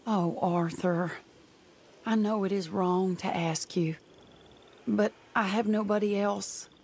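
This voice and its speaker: A woman's voice: medium pitch, fairly even intonation, slow, darkish tone. An actress playing a young woman reading her own pleading letter to a former love, in a video game voice-over. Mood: wistful. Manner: wistful, pleading.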